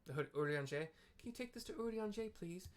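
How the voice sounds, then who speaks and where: A man's voice: sits high, animated, brisk, soft background music, pitched above the performer's own voice. A man mockingly voicing a whiny, lazy game character who wheedles someone into running errands. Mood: mock pleading. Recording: clear background noise.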